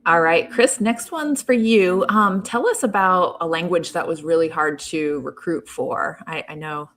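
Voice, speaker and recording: A woman with a slightly low voice hosts a live online question-and-answer session. She talks in a friendly, conversational way as she reads viewer questions and hands them to her guests. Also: measured pace, a bit bright. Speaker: show host.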